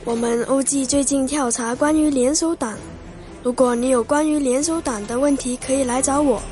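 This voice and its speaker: An earnest young police officer in a school radio play, acted by a female performer in a high, youthful voice. The delivery is amateur stage acting, with music underneath. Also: fairly even intonation, moderate pace, darkish tone, close to an everyday voice.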